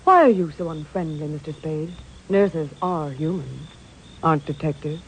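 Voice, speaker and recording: Flustered, alluring radio-drama nurse. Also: female voice, sits low, animated, moderate pace. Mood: plaintive. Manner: flustered, pleading, theatrical. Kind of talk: 1940s radio melodrama acting. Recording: old, faintly noisy radio recording.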